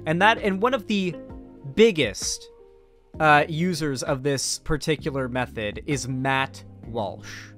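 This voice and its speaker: A young American man talks casually to his livestream audience in a slightly high voice. He is opinionated and blunt, rephrasing as he goes, close on the mic with some music behind him. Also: slow, a bit bright. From central West Florida, American South. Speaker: political commentary streamer. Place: small home streaming room, indoors. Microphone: close microphone on boom arm, headphones on.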